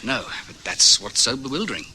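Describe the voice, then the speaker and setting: Young male visitor for radio drama: deferential, worried, earnest, forward bright delivery over slight noise. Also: medium pitch, very animated, quick pace, poor audio. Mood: puzzled, worried. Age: young adult. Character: polite, earnest young traveller worried about his friend.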